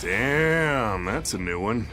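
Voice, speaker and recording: An actor playing a swaggering, taunting rogue fighter in a video game, slangy and carefree. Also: male voice, lowish voice, animated, takes it slowly, pushed and bright, soft background music, exaggerated voice. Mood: amused. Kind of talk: English video game voice acting.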